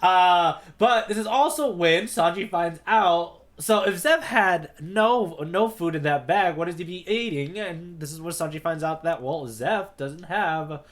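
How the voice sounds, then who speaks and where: Higher-pitched man, casual podcast co-host. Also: measured pace, hardly any pauses. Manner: informal, checks in with co-host. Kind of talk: casual conversational story recap.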